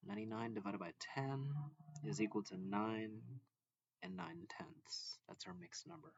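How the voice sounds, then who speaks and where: A man reading a math lesson aloud as a teacher, deliberately and step by step, phrase by phrase. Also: fairly low pitch, expressive, gravelly, no echo, muffled recording. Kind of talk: slow read-along lesson narration.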